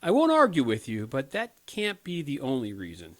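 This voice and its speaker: An actor playing an earnest, inexperienced young crisis counselor in a radio play, hesitant and pleading. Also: male voice, medium pitch, huge pitch swings, moderate pace. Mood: earnest, defensive. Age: 20s.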